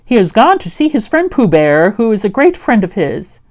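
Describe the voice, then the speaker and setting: Lady doing a fussy careful boy rabbit from a kids book, brisk n prim, very up and down pitch. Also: sing-song, a little mellow, lightly acted. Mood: evasive. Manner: brisk, polite, stern. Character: Rabbit, fussy, cautious, prim male storybook rabbit. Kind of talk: children's storybook read-aloud.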